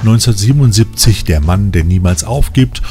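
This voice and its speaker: A man's voice: on the low side, lively intonation, fairly quick, warm tone. A man reading scripted narration as a podcast host. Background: background music.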